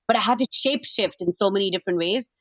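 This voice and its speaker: A woman with an Indian accent hosts an interview. Her voice sits at a medium pitch in a very dry recording, and she speaks conversationally, mixing questions with personal anecdotes. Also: quick pace, a bit bright, dry recording. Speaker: podcast host.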